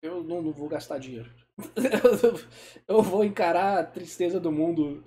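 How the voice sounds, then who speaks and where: A man's voice: a little high, a little slow, dark tone. Male streamer, casual live reaction, wry self-deprecating asides.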